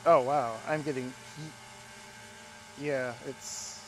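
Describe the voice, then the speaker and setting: A man's voice: medium pitch, fairly even intonation, slow. A man working as a radio operator outdoors, talking casually in short, matter-of-fact remarks. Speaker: radio operator assisting the presenter. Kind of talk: casual chat while working. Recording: noisy outdoor recording.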